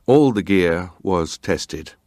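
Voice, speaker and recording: An actor playing a terse, guarded ship's officer giving formal, respectful, matter-of-fact testimony in a dramatised hearing. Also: male voice, sits low, expressive, takes it slowly, darkish tone, no echo, studio quality. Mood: dutiful, firm. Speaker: actor reading a witness's testimony.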